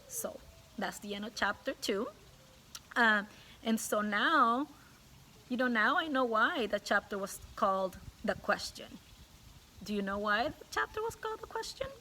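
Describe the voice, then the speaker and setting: A woman teacher reading a storybook aloud to children, pausing to ask questions and explain things simply. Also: a little high, pushed and bright, low-quality recording.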